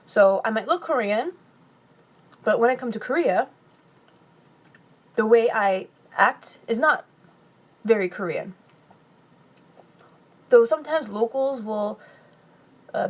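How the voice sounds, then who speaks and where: A young woman from California chats through a live stream Q&A, reading viewer comments aloud and answering with quick laughs. Her voice sits a little high, and she talks casually into a phone mic between bites. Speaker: vlogger answering live chat questions.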